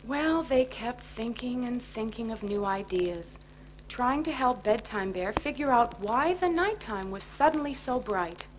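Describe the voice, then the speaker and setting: High-voiced woman telling a bedtime story. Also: a little slow. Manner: expressive, storytelling. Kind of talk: children's bedtime story narration. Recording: noisy 1980s children's record.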